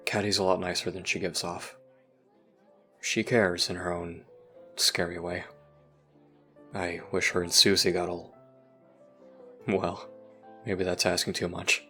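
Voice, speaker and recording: A man playing a withdrawn, dry-humoured teenager in an audio roleplay, deadpan and hesitant. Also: low-pitched, measured pace, pauses often, soft background music. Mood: wry, wistful.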